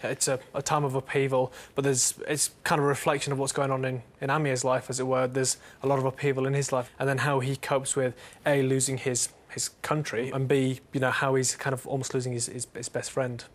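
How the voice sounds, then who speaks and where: Flat-voiced man, halting talk. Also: medium pitch. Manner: halting, many restarts. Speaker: book panelist. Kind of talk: panel discussion.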